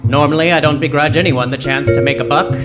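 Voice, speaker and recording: An actor playing a world-weary, wisecracking library detective in a deadpan noir radio drama style. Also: male voice, fairly high pitch, fast talker, close to an everyday voice. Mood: wry, weary. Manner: wry, deadpan. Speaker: narrator and lead character. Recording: music playing behind the voice.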